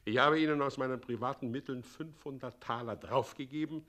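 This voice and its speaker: An actor playing a scheming, pompous middle-aged president in a German radio play, swinging theatrically from commands to wheedling. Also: male voice, medium pitch, fairly even intonation, fairly quick, a bit bright, lightly acted. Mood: slyly sweet. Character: scheming, pompous tyrant president, doting mockingly on his son.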